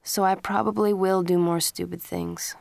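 A woman's voice: lowish voice, little pitch movement, normal speed, creaky, darkish tone, no echo. Teen boy saying sorry to his folks, earnest, kinda pleading, read by a woman. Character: remorseful teenage boy apologizing to parents.

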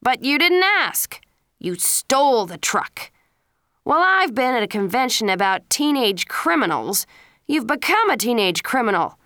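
A woman's voice: mid-range voice, animated, measured pace, sharp tone, smooth, no echo, studio quality. A woman reading the lines of a harsh, angry father who is a police chief, scolding his son.

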